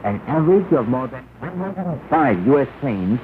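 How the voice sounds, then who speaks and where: Through a thin shortwave signal thick with noise, a man reads 1960s propaganda news. He is formal and emphatic, placing each phrase deliberately. His mid-pitched voice rises and falls in lively swings. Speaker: radio news announcer. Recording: noisy 1968 shortwave radio, narrow band, rumble.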